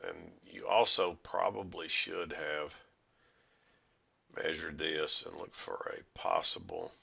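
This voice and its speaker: A middle-aged man with a hoarse, congested voice from a head cold, explaining chart trades in a rambling, self-correcting way. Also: low voice, level tone, slow, pushed and bright, lo-fi sound. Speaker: trading educator. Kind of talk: screen-share trading recap.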